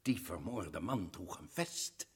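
An actor playing a shrewd, earnest old professor in a radio play, interrogating and growing excited. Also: male voice, low-pitched, slow pace, husky, full character voice. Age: older. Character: shrewd old male professor, eager sleuth.

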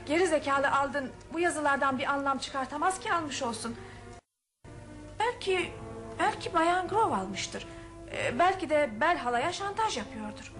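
An actress playing a polite, curious, quick-witted young upper-class woman in a Turkish radio drama. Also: high-pitched, animated, a bit bright, soft background music. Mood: speculating. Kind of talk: Turkish radio theater acting.

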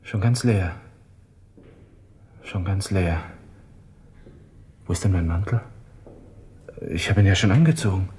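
An actor playing a panicked, proud young army lieutenant in a radio drama monologue, talking to himself with a Viennese accent. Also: male voice, deep voice, a little slow, long pauses, slight background noise. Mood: anxious, bewildered. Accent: Viennese Austrian. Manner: agitated self-talk, from hushed muttering to outbursts.

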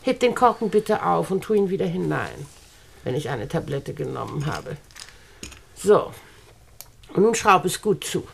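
An actress playing a wealthy, fussy, condescending older aunt in a radio play, speaking in a bossy, sweetly patronising way. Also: female voice, on the low side, very animated, measured pace, gravelly, pauses often, a little hiss or hum, exaggerated voice. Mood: fussy, commanding. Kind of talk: German radio play acting.

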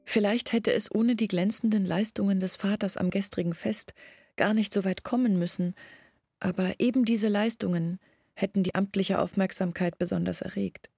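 A woman's voice: medium pitch, steady tone, moderate pace, vocal fry, a little mellow, slightly rough, dry recording. An actress playing a troubled young village woman who recounts her family's downfall as a first-person story in a radio play. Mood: resigned sorrow.

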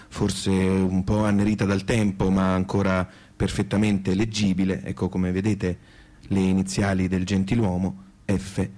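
Pompous low-voiced male guide. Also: dark tone. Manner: pompous, digressive, tag questions. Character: pompous bumbling guide describing monuments to radio listeners. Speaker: radio guide addressing listeners. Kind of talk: mock guided-tour radio monologue.

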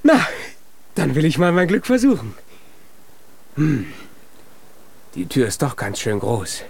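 An actor voicing a small, childlike, proud and eager giant in a children's radio play. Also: male voice, medium pitch, wildly swooping pitch, slow pace, gravelly, husky, exaggerated voice. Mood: hopeful. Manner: eager, boastful, naive.

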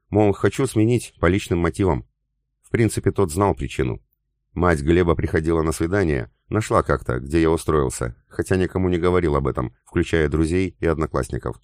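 A man reading a novel aloud in the first person, with even, unhurried storytelling. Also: sits low, no echo, studio quality. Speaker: audiobook narrator. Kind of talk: first-person scripted narration.

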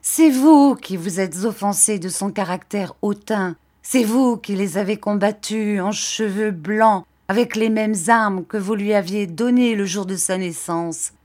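A female narrator plays a scarred, embittered woman consumed by vengeful passion in a classic novel. She accuses and commands imperiously, hammering out her reproaches at a slow, deliberate pace. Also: medium pitch, fairly even intonation, a little mellow, lightly acted. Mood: fierce reproach. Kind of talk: dramatic audiobook acting of a classic novel.

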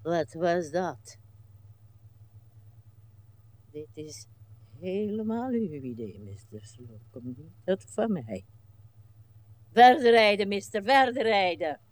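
A weary old Flemish woman in a radio play. She speaks slowly and haltingly, fussing and complaining, dropping into mutters and rising into exclamations. The sound is dry and intimate. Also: lowish voice, very animated, no room sound. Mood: straining, indignant. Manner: plaintive, halting, muttering asides and sudden exclamations.

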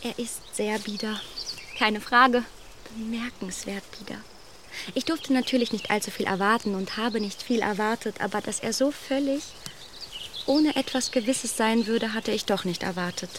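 An actress playing a proud, snobbish young gentlewoman in a radio play, speaking in a poised, condescending and emphatic way. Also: on the high side, normal speed, noisy background, close to an everyday voice. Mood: cool disdain.

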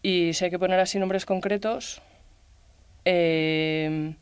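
Flat, measured radio discussion guest. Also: mid-range voice, clean tone, dry recording. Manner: reflective, hesitant, many fillers. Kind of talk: radio round-table discussion. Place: radio studio.

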